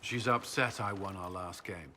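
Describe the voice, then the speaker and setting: Low-voiced, reserved fantasy commander. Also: male voice, normal speed, pushed and bright. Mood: dry, playful. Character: reserved, awkward military commander, a man in his thirties. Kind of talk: fantasy game cutscene dialogue. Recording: game audio with background ambient noise.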